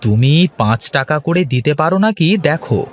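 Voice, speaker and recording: An actor voicing a poor village fisherman in a cartoon, cheerful and boastful, with a husky voice. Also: mid-range voice, expressive, fairly quick, a faint crowd, slight background noise, close to an everyday voice. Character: poor village fisherman turned eager trader. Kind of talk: Bengali cartoon voice acting.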